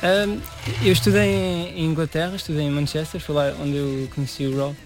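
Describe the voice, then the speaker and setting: A young Algarve man with a voice a little on the high side answers questions as a musician guest. He speaks informally and haltingly, slipping in English words, with his regional Portuguese accent. Light music plays behind him. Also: level tone. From Portimão, Algarve, Portugal. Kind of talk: casual interview answers.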